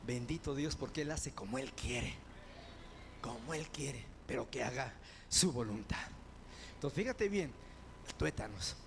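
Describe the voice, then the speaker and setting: A man preaching a sermon as pastor, building to loud fervent proclamations and dropping into quick conversational asides. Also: medium pitch, lively intonation, gravelly, a little hiss or hum.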